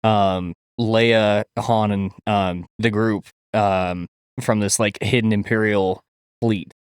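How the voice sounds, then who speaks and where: A man co-hosting a podcast in casual, sweary chat, halting and informal as he explains. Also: lowish voice, steady tone, smooth, no room sound, studio quality. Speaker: podcast co-host.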